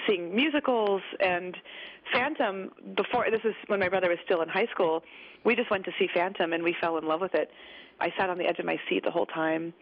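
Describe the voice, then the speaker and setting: A woman, a guest opera singer, telling stories in a chatty, animated way during a radio interview. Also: fairly low pitch. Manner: chatty, animated, laughs easily. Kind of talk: radio interview, casual storytelling. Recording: slightly muffled radio broadcast.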